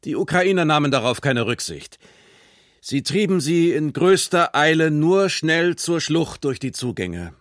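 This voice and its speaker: An actor playing a truck driver giving a factual, deliberate statement in a documentary radio drama. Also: male voice, fairly high pitch, big pitch swings, dry recording, studio quality. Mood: factual, uneasy. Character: male Nazi-era truck driver giving matter-of-fact interrogation statement.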